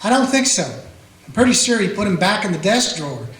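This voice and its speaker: A man's voice: high voice, big pitch swings, brisk, fairly bright tone. A man playing a plain-spoken small-town Western sheriff who also narrates, with folksy grammar. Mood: steady. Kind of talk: amateur radio play acting with narration. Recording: roomy echo.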